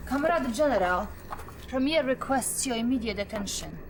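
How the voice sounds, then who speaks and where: An actress playing a female Soviet officer giving mission briefings with a crisp, slyly teasing manner. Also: a little high, expressive, normal speed, background noise. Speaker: military officer briefing the general. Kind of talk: full-motion video game cutscene.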